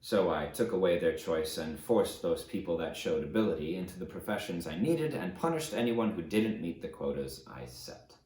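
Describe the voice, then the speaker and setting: A man reading an audiobook as a remorseful fallen conqueror, confessing his atrocities in a grave, measured, emphatic way. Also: deep voice, lively intonation, darkish tone, roomy sound. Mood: stern, explanatory. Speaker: audiobook narrator. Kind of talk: dramatic first-person audiobook reading.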